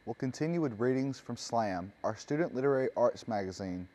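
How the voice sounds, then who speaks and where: A man's voice: mid-range voice, expressive, brisk, darkish tone. A man hosting a magazine program, reading scripted introductions to each segment. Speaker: host. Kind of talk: scripted magazine-show links.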